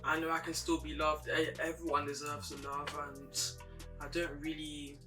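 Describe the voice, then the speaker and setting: A young woman with a deep voice, a guest speaking candidly and reflectively in an interview. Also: little pitch movement, normal speed, bright, forceful tone. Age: young adult. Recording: distant mic with music playing behind.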